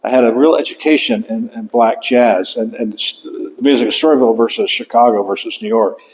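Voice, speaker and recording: An older man speaks at a mid pitch, giving an author talk to a room. He talks in a halting way, full of fillers, restarts and asides. The sound rings with a long hall reverb. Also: darkish tone. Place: lecture room.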